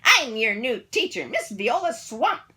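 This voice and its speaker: A woman's voice: a little high, sing-song, measured pace, noisy background, voice pushed up. A woman voicing a stern, nasty substitute teacher who barks orders at a class. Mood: stern, threatening. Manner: barking orders.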